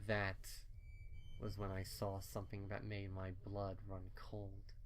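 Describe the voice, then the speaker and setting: A man reading a dark horror story in the first person, solemn and dramatic, as scripted narration. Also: low-pitched, completely flat delivery, slow, a little hiss or hum. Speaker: fan fiction reader.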